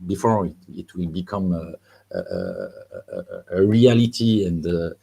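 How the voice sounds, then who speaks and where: A man moderating a panel discussion, speaking haltingly with many "uh"s and repeated words. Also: deep voice, sing-song, gravelly, dark tone, breathy edge.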